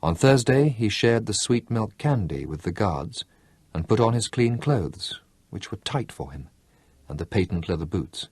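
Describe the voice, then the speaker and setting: A man narrating a novel as an audiobook, in a measured, expressive storytelling manner. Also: on the low side, breathy edge, no room sound. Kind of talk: scripted narration.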